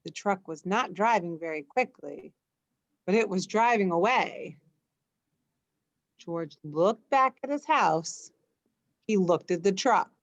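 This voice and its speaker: Slow, expressive woman storyteller in a dry recording. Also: medium pitch, no echo. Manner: expressive, puts emphasis into dialogue. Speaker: storyteller reading aloud. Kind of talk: bedtime story reading for children.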